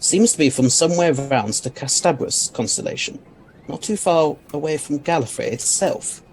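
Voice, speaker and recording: Guy doing eccentric brit scientist in audio drama, highish voice, erudite and unflappable, bit of background noise. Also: lively intonation, moderate pace, darkish tone, poor audio. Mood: calm, intrigued. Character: eccentric, intrigued, unflappable male alien scientist.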